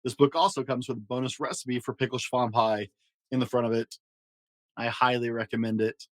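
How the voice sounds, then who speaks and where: Casting: male storyteller for children's picture books, expressive read-aloud style, mid-range voice, very dry recording. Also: medium pitch, brisk, no echo. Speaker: storyteller and host.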